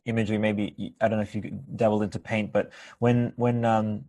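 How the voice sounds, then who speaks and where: A man hosting a relaxed conversation, with a fairly low voice. He wanders through his thoughts in long metaphors, with many fillers along the way. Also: a little fast. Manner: rambling, talks in metaphors. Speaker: podcast host. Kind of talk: casual interview chat.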